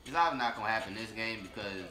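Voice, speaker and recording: A young American man with a lowish voice reacts off the cuff to a video. He talks in a slangy, laid-back way, thinking aloud as he goes. The room echoes around him and music plays clearly behind. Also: racing. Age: young adult. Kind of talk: casual, off-the-cuff reaction commentary. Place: room with leather couch and large windows, indoors. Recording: roomy echo, music behind.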